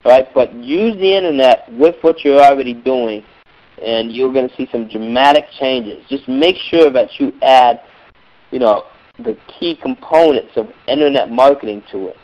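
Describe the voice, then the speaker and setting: Hoarse man coaching over a dull call. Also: medium pitch, normal speed. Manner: emphatic, repeats words for stress. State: hoarse from a cold. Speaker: music business coach. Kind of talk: motivational teleseminar talk.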